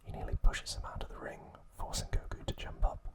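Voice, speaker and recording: A man hosting ASMR, whispering a recap in a hushed, unhurried manner with deliberate pauses. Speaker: ASMR host.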